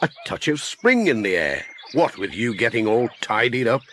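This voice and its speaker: A man's voice: mid-range voice, big pitch swings, normal speed, a bit bright, a little hiss or hum. A man voicing a cheerful, friendly village postman in a storybook reading, with hearty, jolly exclamations.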